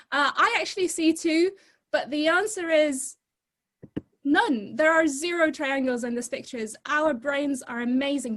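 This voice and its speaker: A young woman from Egypt giving a lively, chatty talk over a video call. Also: high-pitched. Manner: lively, chatty and informal, quick to laugh. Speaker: guest speaker.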